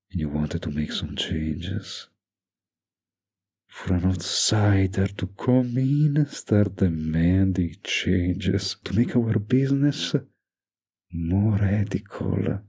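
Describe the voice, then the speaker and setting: Man acting as low, gravelly doting mafia boyfriend. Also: warm tone, exaggerated voice. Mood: tender, reflective. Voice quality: low gravelly, breathy murmur. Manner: slow, tender, possessive sweet talk with pet names. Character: doting, dominant young mafia heir boyfriend. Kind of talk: intimate roleplay audio drama, scripted monologue.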